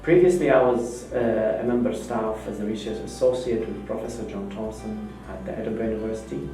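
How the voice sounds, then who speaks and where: A man's voice: medium pitch, dark tone. A man, a senior engineer, introducing himself with frequent hesitations. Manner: hesitant with many fillers. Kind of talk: interview to camera. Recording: echoey room from far off with music behind.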